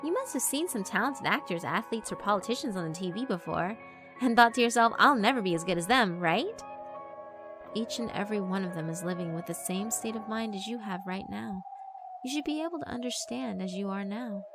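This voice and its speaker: A woman's voice: mid-range voice, animated, fairly quick, lightly acted. A woman voicing a sweet, persuasive female figure who praises the bullies' cruelty. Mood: coaxing. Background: background music.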